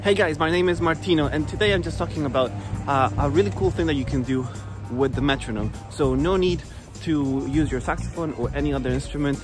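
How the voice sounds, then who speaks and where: A man's voice: a little high, brisk, few pauses. Man, 30s, upbeat music teacher, walking outside in the rain, phone at arm's length, music playing underneath. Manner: chatty, upbeat, says "okay?" often. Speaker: saxophone teacher. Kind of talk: casual walking video lesson. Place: outdoors on a rainy city street. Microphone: phone held at arm's length.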